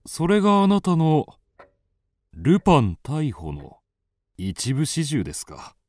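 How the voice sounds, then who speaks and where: A man's voice: a little high, huge pitch swings, slow, a little mellow, no echo, full character voice. A man playing a composed, attentive writer in a radio drama. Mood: intrigued.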